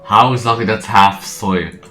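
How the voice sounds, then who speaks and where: A man's voice: lowish voice, fairly even intonation, unhurried, sharp tone, soft background music. A man giving casual gameplay commentary as a streamer, with muttered, exclamatory reactions. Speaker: game streamer.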